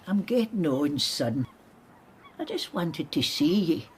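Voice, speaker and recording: Older Scottish aunt for radio drama: husky, lively swings in pitch, wistful and stubborn. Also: female voice, takes it slowly, heavily acted. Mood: wistful, rueful.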